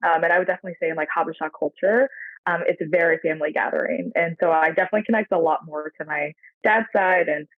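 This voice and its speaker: A woman's voice: low voice, fairly even intonation, fast talker, no room sound. An American woman from the San Francisco Bay Area, a podcast guest, talking in a reflective, rambling way with lots of fillers. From San Francisco Bay Area, USA. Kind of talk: casual interview chat. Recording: tinny phone-like dry line.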